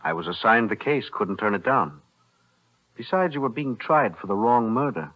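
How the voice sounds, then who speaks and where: An actor playing a composed, principled lawyer with measured, firm delivery in a 1940s radio thriller. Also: male voice, fairly low pitch, animated. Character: composed, principled male lawyer, quietly resolute. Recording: muffled, noisy vintage radio broadcast.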